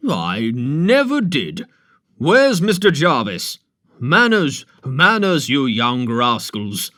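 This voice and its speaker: A man reading aloud, voicing a brisk, bossy village doctor who talks in a loud, brusque, blustering way. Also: mid-range voice, wildly swooping pitch, no room sound, clean studio sound, full character voice.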